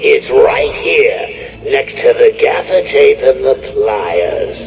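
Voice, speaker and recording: Manic clown villain, video game, gravelly cackle, drawn-out taunting delivery, tinny sound. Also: male voice, medium pitch, animated, faint music behind. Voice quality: gravelly, mocking, cackling laugh. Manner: sing-song taunts, swings from purring to shrieking.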